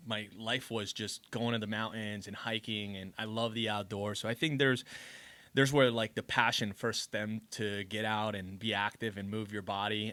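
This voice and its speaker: A man's voice: on the low side, bright, forceful tone, no echo. A man from Colombia, a guest on a podcast, telling his story in an earnest, reflective way with many "you know"s. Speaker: podcast guest, business owner. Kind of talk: casual interview storytelling.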